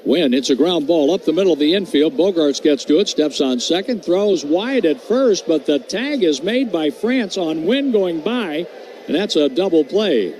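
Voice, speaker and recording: A man calling a baseball game on radio, crisply reeling off stats and calling each pitch. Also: expressive, moderate pace, darkish tone, a little hiss or hum. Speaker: play-by-play announcer.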